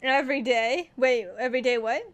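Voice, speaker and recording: A woman's voice: high-pitched, steady tone, a little fast. A woman giving casual, gushing commentary as she plays through a video game. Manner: gushing, giggly reactions. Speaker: gaming video creator.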